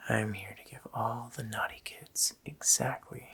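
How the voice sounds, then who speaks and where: A man voicing a sinister, taunting Christmas demon in a slow, hoarse, menacing whisper for an ASMR roleplay. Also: medium pitch, fairly even intonation, bright, forceful tone, exaggerated voice. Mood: boastful menace. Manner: slow, menacing, drawn-out phrasing.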